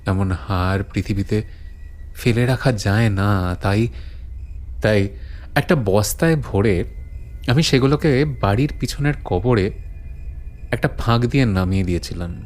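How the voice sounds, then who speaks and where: A man narrating a horror audio story as a brooding storyteller, deliberate and suspenseful with dramatic pauses. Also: lowish voice, darkish tone, natural voice. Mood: grave, eerie. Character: brooding male storyteller recounting eerie legends to his friend.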